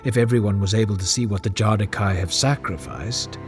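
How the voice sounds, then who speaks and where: A man's voice: fairly low pitch, a little fast, lightly acted. An actor playing an eager, conciliatory male official who speaks earnestly. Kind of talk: audio drama acting. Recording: music bed underneath.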